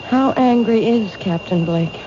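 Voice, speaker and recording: A woman's voice: fairly high pitch, unhurried, lightly acted. A woman acting an anxious, self-doubting woman in danger in an old-time radio drama, earnest, dramatic and hesitant. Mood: anxious, concerned. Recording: noisy, muffled old radio broadcast.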